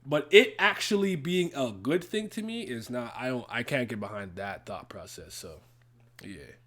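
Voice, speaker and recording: Man streaming, first language French, blunt opinions, casual chat replies, voice of average pitch. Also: expressive, moderate pace, fairly bright tone. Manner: blunt, opinionated, heated rants with repeated phrases. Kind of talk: casual livestream, reading and answering chat comments.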